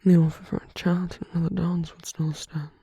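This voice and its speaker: A woman playing a flirtatious villain in an intimate ASMR roleplay, teasing and tender, speaking softly in a coaxing half-whisper. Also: fairly low pitch, flat delivery, brisk, slightly rough, dry recording. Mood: tender, earnest.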